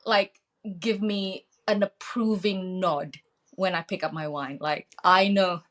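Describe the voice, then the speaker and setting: A woman's voice: lowish voice, fairly even intonation, slow pace. A woman in her late thirties hosting a podcast, curious and teasing, with many listening noises. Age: 30s. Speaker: podcast host. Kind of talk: casual interview chat.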